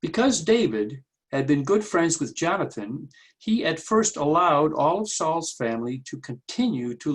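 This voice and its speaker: Man, church reader, very lively intonation, husky voice, measured explanatory delivery, prepared introduction. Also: a little high. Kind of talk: prepared spoken introduction to a Bible story.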